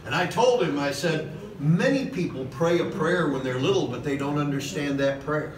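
A middle-aged man preaching a sermon from a podium in a large church hall, passionate, with "okay?" asides and slow emphatic lines. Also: a little high. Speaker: pastor. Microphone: far camera in the hall. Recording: echoey hall recorded from a distance with noise.

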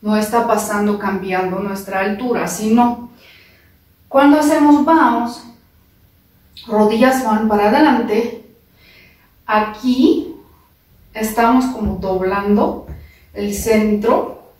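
Slow-speaking non-native woman teaching dance. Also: medium pitch, a little mellow. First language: not Spanish. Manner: careful, halting non-native phrasing with pauses. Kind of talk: slow step-by-step dance instruction, counting beats. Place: large living room indoors. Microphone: far camera. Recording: echoey room from far off.

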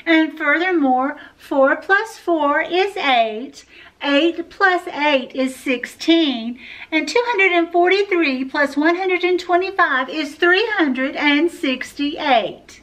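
A woman voicing a big, mean, boastful young capybara girl in a children's picture book, loud and brash. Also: sits high, takes it slowly, voice pushed up, low-quality recording. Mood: loud showing off.

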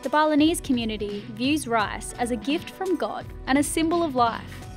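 A woman's voice: high voice, lively intonation, normal speed. A woman narrating a travel vlog voice-over. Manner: smooth, upbeat travel narration. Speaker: vlogger. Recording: music underneath.

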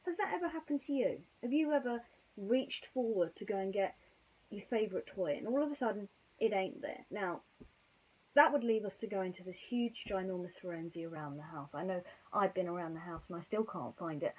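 A young woman telling a children's story informally, with a theatrical, expressive delivery. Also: mid-range voice, fairly quick, husky, rough recording. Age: young adult. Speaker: bedtime storyteller. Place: small bedroom, indoors.

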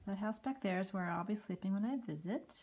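A woman giving a casual walking tour of a house and its backyard, filmed on a handheld phone, with chatty remarks that trail off into soft asides. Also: medium pitch, a little fast, husky. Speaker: home tour vlogger. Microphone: phone camera held while walking.

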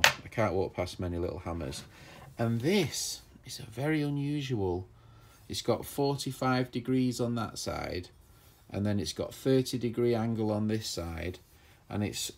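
A man chatting casually and enthusiastically as he shows off old tools, rambling with plenty of fillers. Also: on the low side. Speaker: tool collector vlogger. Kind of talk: casual show-and-tell narration.

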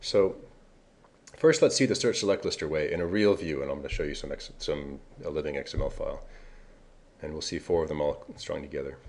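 Guy w a really deep voice doing a code walkthrough, relaxed, fixes his own slips. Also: a little fast. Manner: casual, explanatory, occasional self-corrections. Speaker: software developer presenting a tutorial. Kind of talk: screencast walkthrough.

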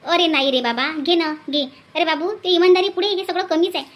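A woman voicing a warm-hearted older cartoon village auntie, gushing and insistent, repeating herself in rustic Vidarbha Marathi. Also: very high voice, brisk. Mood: coaxing, insistent. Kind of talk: comedy cartoon dialogue.

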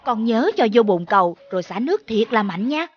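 A woman's voice: fairly high pitch, sing-song, a little fast, slightly rough, lo-fi sound. A woman voicing a fussy, bossy young mother in a children's cartoon. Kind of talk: cartoon voice acting.

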